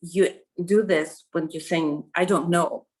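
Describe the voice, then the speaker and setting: A woman's voice: fairly low pitch, fairly even intonation, measured pace. Hesitant middle-aged woman. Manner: hesitant, many fillers and restarts. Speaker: interviewee. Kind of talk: conversational interview answer.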